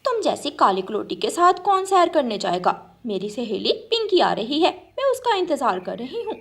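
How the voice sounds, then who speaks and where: Lady doing a snappy angry crow auntie, high voice, haughty cartoon villain, very animated. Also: moderate pace, creaky, heavily acted. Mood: disdainful. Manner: haughty, scolding. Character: bad-tempered scolding adult female crow, haughty and vindictive.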